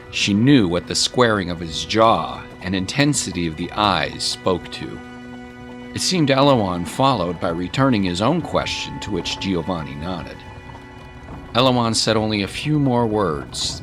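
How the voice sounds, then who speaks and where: A man reading scripted story narration as the narrator of an audio drama. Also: sits low. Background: music.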